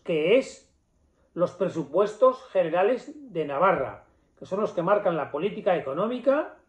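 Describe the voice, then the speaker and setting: A man giving an indignant, emphatic opinion monologue to camera in a small office, with rhetorical questions and repetition. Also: sits high. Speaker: political commentator. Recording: echoey room, slightly dull sound.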